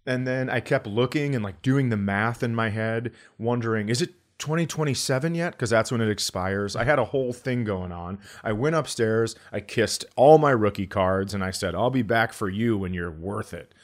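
A man hosting a comedy podcast, telling stories in an animated, grumbling, sweary way and acting out quotes. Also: fairly low pitch, normal speed, hardly any pauses. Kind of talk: comic storytelling in casual podcast chat.

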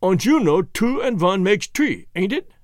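Man acting a high-pitched, defiant man with thick Germanic accent. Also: very animated, measured pace, no echo, studio quality. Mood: slyly triumphant. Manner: broken English, taunting. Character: defiant foreign condemned man, cunning and excitable.

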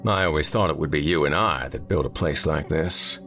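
Brainy, sardonic male colleague for audiobook fiction, done by a man: deep, wry, emphatic. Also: fairly quick, pushed and bright, faint music behind. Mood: wry, wistful.

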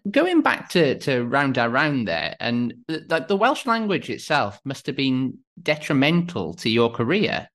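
A man's voice: medium pitch, sing-song, unhurried, hardly any pauses, fairly bright tone. A male interviewer with a mid-range voice asks his guest questions. He sounds chatty and conversational, leading in with short remarks before the question.

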